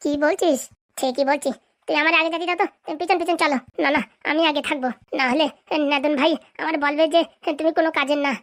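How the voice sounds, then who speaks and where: A performer voicing a cocky young troublemaker in a comedy cartoon, boastful and quick to squabble. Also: high-pitched, fairly even intonation, a bit bright. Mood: boastful, bickering.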